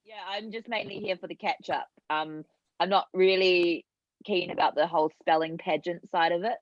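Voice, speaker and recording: Low-voiced hesitant New woman. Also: fairly even intonation, moderate pace, no echo. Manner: hesitant, giggly. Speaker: contestant. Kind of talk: casual chat. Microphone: video call. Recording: dull, lo-fi call audio.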